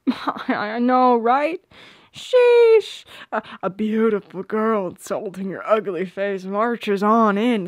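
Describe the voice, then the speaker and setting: A woman's voice: mid-range voice, no echo, studio quality. A young woman playing a shy, teasing friend with a secret crush in an intimate ASMR roleplay, playful and a little hesitant.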